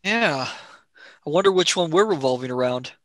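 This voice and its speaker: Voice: medium pitch, expressive, normal speed, dry recording, natural voice. An actor playing a sceptical, wary young male space traveller in a radio play. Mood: curious.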